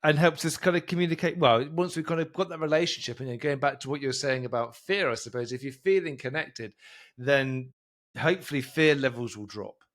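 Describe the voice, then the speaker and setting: A man of middle pitch hosting a podcast interview. He talks in a loose, chatty way and often circles back to restart his sentences. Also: fairly quick, fairly bright tone. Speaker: podcast host. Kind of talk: casual interview talk.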